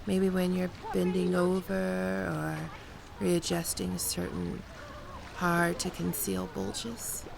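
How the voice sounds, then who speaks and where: A woman's voice: fairly low pitch, flat delivery, gravelly, background noise, heavily acted. A woman playing a flirtatious, playful holidaymaker in an ASMR roleplay, talking in a coy, teasing, conversational way. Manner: coy, teasing, giggly, unhurried with pauses.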